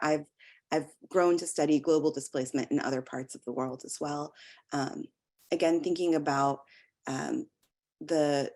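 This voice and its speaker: Low-voiced American woman lecturing. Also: a little mellow. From United States. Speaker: academic researcher giving a lecture. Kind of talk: lecture with slides.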